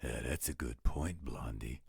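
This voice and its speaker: A man playing a blunt, protective werewolf with a gravelly, raspy voice in a roleplay audio drama. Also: rumbling low, unhurried, darkish tone, no room sound, clean studio sound, heavily acted. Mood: protective, measured. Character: gruff, protective male werewolf, laid-back with loved ones.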